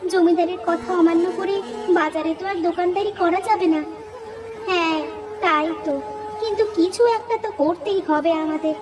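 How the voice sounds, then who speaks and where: A woman's voice: extremely high pitch, steady tone, normal speed, recorded from a distance, noisy background. A woman voicing an earnest, anxious younger village sister in a cartoon, with a questioning manner. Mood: worried, puzzled. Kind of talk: cartoon dub acting.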